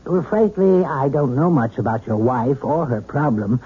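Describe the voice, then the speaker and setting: A man playing a calm, frank hypnotherapist in 1970s radio drama style, polite and professional. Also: medium pitch, moderate pace, breathy edge. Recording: tinny, band-limited old radio broadcast.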